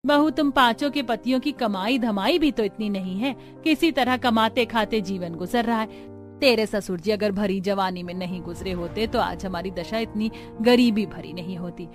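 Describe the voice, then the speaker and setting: A woman's voice: mid-range voice, moderate pace, soft background music, natural voice. Woman voicing a caring, sorrowful village mother-in-law. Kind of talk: Hindi cartoon story dialogue.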